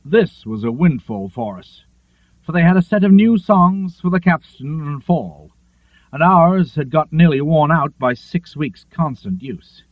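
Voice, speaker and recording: A man narrates a literary memoir with lively, rising and falling intonation and a measured storyteller's manner. His voice sits fairly high for a male reader. The recording is very dry, with a narrow, phone-like tone. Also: no echo. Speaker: audiobook narrator.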